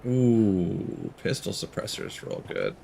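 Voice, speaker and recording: A man's voice: low voice, huge pitch swings, slow pace, dark tone. A man giving casual live game commentary, muttering joking asides to himself. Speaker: game streamer. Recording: clear background game noise. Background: video game sound.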